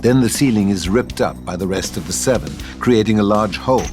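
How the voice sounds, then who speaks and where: A man's voice: on the low side, a little fast, over music. A man reading scripted stage directions as the narrator of a table read.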